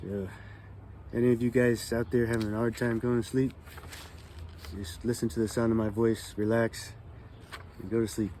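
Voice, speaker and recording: A man hosting his own channel, reading magic history from a book aloud in a calm, relaxed manner. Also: medium pitch. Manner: calm, relaxed delivery meant to soothe. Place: California.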